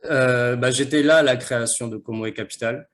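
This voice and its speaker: A man's voice: medium pitch, normal speed, a bit bright. A man who works for an impact investment fund, speaking on a webinar panel in a hesitant way, with many fillers and restarts.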